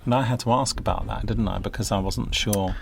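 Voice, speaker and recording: A man's voice: fairly low pitch, steady tone, fairly quick, creaky. A man interviewing in a casual, jokey podcast chat. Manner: jokey, laughs often.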